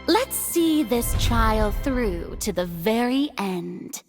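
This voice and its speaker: A woman's voice: a little high, sing-song, takes it slowly, music in the background, exaggerated voice. A woman playing a proud, theatrical young female archon in a video game, pompous and grand yet shaken underneath. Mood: resigned, wounded. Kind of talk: dramatic video game voice acting.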